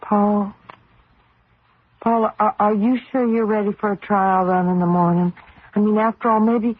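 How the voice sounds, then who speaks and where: A woman's voice: medium pitch, steady tone, measured pace, breathy edge, dry recording, phone-quality sound. An actress playing an anxious, devoted young wife and mother in an old radio drama, hesitant and affectionate. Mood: tender, worried. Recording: band-limited noisy old radio recording.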